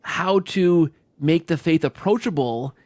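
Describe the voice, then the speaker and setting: A man's voice: on the high side, unhurried, a little mellow, no room sound. A man hosting an interview podcast, reflecting conversationally with enthusiasm and often repeating or restarting his phrases. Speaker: podcast host.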